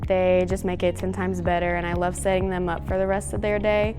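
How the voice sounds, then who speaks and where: A woman's voice: on the low side, level tone, fairly quick, over music. A teenage girl, an eleventh-grade student, talking earnestly and eagerly about her class. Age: teens.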